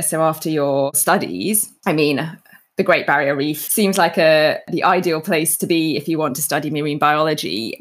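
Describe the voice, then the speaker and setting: A woman hosting an interview show, speaking in prepared introductions and questions to her guest. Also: low-pitched. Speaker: podcast host.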